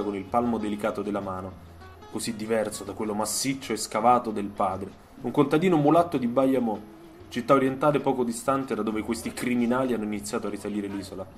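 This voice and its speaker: A man narrating a scripted story for radio, in measured phrases with dramatic pauses. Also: mid-range voice. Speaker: storyteller and author. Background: soundtrack music.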